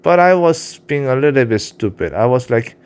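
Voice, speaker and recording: A man's voice: mid-range voice, animated, normal speed, darkish tone, smooth. A man with a Korean accent, a writer who speaks English as a second language, talking candidly to his cat in a rambling, casual vlog monologue. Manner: rambling, candid, frequent fillers and restarts. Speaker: vlogger and self-published writer.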